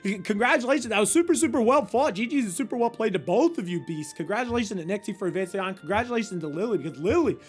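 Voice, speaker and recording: High-energy male stream host, slightly hoarse, fast stammering shout-outs over faint game music. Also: animated. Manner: hyped, rapid shout-outs with frequent stammered restarts. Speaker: tournament host and commentator. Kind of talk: energetic esports stream commentary.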